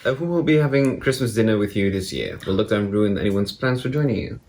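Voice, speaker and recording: Young woman in her twenties, reading questions, brisk pace, faint noise. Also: rumbling low, lively intonation, a little mellow. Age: 20s. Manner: reads questions aloud quickly. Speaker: reads viewer questions.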